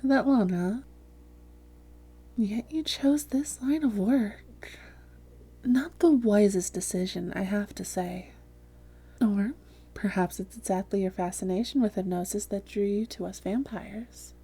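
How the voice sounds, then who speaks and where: A woman playing a haughty, seductive vampire hypnotist, breathy and often whispering, with a smug, teasing, slow and coaxing delivery in an intimate roleplay audio. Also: medium pitch, mellow. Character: haughty, seductive female vampire hypnotist toying with a captured hunter.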